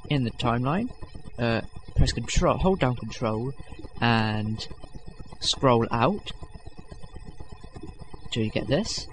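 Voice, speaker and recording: A man's voice: medium pitch, animated, slow pace, long pauses, a little hiss or hum, low-quality recording. A young man hosting a casual video-editing tutorial, rambling with lots of fillers and self-corrections, self-deprecating. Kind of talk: unscripted screen-recording tutorial.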